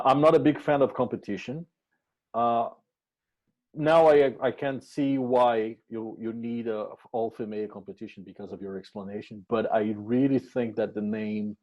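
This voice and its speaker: A man from Brazil hosting a casual podcast interview, halting and restarting his words. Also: lowish voice, fairly even intonation, muffled recording.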